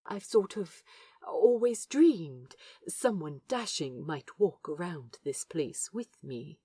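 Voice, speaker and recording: A woman plays a courteous, smitten gentleman in an audio drama, speaking slowly and softly with quaint politeness. The voice is lowish and husky, with an eager, lilting delivery. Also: expressive, no room sound, clean studio sound, full character voice. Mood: tender, shy. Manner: polite, quaint phrasing, soft-spoken. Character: Aziraphale, gentle eager old-fashioned man, smitten and courteous. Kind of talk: fan fiction audio drama.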